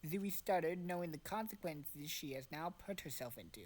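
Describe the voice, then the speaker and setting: Female amateur narrator, slightly low voice, very animated, casual fanfic read with sweary, exasperated asides. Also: moderate pace. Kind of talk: casual amateur fan fiction reading with friends.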